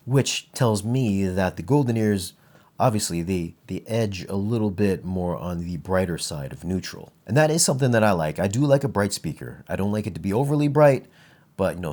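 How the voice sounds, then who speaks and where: A man with a lowish voice talks through a gear review in a casual, conversational way. He sounds like an enthusiast reviewer explaining what he hears, informal and engaged. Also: a little mellow. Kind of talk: casual product review talk.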